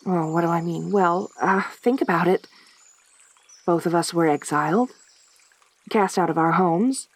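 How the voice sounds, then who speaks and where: A woman playing a lonely, possessive centaur girl in a soft-spoken audio roleplay, with a halting, stammering delivery. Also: on the low side, no echo. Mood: shy, nervous, then sombre, tender.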